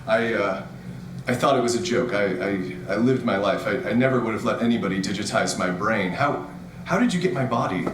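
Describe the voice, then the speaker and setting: A man playing a bewildered, grumbling retired grandfather in an audio drama, speaking in a halting stammer. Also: on the low side, animated, noisy background, close to an everyday voice. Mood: disbelieving. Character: crotchety, bewildered retired grandfather, newly dead and digitized. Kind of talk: scripted audio drama acting. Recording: music behind the voice.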